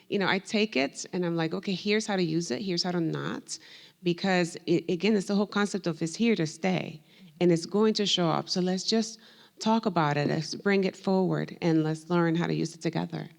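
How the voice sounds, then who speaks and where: A woman professor whose first language is Spanish, answering on a panel in a long-winded, animated way with many fillers. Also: on the low side, moderate pace, creaky. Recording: reverberant hall.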